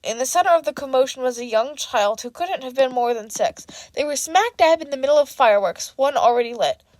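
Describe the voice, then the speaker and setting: Female fiction reader, higher-pitched voice, close dry recording, expressive storytelling. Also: brisk, no room sound. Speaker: fan fiction podcast reader. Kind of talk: expressive audiobook-style narration.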